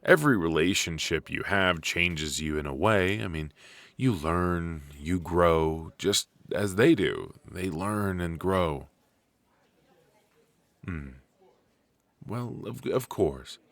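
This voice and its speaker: A man acting a friendly, chatty extrovert at a coffee shop mixer in an ASMR audio roleplay, conversational and reassuring, pausing for an unheard listener. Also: sits low, expressive, a bit bright, no room sound, full character voice. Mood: earnest, reassuring. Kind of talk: ASMR roleplay, casual one-sided conversation.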